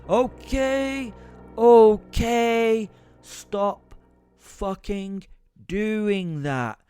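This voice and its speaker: A man's voice: high voice, drawn-out words, warm tone, clean tone, soft background music. A man playing a brooding, exasperated werewolf who tells his own story as theatrical first-person audio drama narration. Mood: furious exasperation. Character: brooding, foul-mouthed male werewolf, seething with helpless rage.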